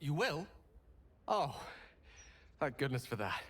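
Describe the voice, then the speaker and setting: An actor playing a tender, brilliant wizard in a video game, speaking in an eloquent, breathy, intimate and hesitant way. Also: male voice, on the high side, very animated, slow, heavily acted. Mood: relieved, happy. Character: brilliant, tender, wordy male wizard in love.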